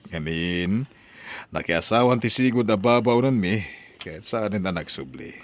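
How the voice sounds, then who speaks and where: A man's voice: on the low side, lively intonation, measured pace. A man playing a well-off, calculating employer in a drama, speaking with authority. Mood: matter-of-fact. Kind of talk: Ilocano radio-style drama acting.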